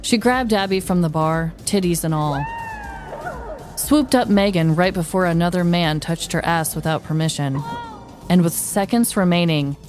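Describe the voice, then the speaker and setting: Low-voiced woman narrating a comedic story. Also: normal speed, over music. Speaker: podcast host.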